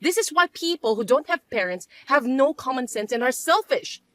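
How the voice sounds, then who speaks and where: A woman voicing a shrill, scornful older mother-in-law. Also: expressive, normal speed, fairly bright tone, dry recording. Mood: complaining. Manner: accusing, indignant, whiny. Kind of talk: dramatized story voice acting.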